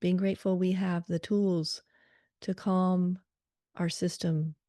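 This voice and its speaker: Woman, meditation guide, very slow pace, soft-edged mellow tone, gentle pauses, calm and soothing. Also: on the low side, dry recording, studio quality.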